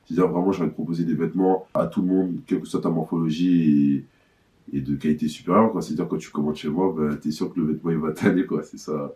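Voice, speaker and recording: A man's voice: a bass voice, steady tone, quick pace, dark tone. A man in his early twenties from Paris, a young brand founder, talking casually in an interview. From Paris, France. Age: 20s.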